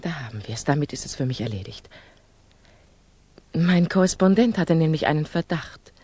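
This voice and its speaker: An actress playing a composed, candid older female revolutionary in a 1960s German radio drama, measured, admonishing and probing. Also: low voice, lively intonation, dark tone, slightly rough, no room sound. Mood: earnest, certain.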